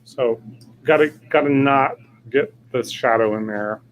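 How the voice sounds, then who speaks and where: A man's voice: medium pitch, a little slow, slight background noise, rough recording. A man hosting a casual live cooking demo, rambling with lots of fillers and restarts, in a home kitchen. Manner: says he has a loud voice. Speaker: cooking show host.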